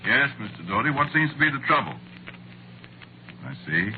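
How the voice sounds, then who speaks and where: An American actor playing a wry, put-upon screenwriter in a 1940s radio drama, talking in a halting, conversational, sardonic way. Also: male voice, mid-range voice, sing-song, lightly acted. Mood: exasperated, flustered. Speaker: narrator and lead actor. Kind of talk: 1940s radio acting, conversational first-person narration. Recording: muffled, noisy old radio recording.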